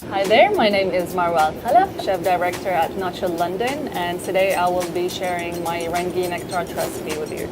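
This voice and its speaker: A woman chef from eastern Saudi Arabia, talking through a cooking demonstration in a kitchen with measured, gently encouraging explanations. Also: fairly low pitch. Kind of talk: cooking demonstration with calm step-by-step instructions. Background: background music.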